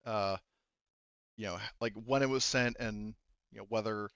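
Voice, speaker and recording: A man speaking casually as a game master, narrating in a halting, hedging way with the odd swear word, on slightly lo-fi audio. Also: on the low side, fairly even intonation, gravelly, fairly bright tone, clear, resonant tone, no echo.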